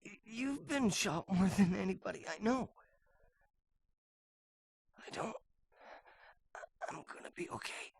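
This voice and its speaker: An actress playing a gravely wounded, frightened woman agent in a game cutscene, weak, breathy and speaking in broken phrases. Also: mid-range voice, animated, slow pace, pauses often. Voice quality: breathy, faint. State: weak and dying from a gunshot. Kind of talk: video game cutscene acting.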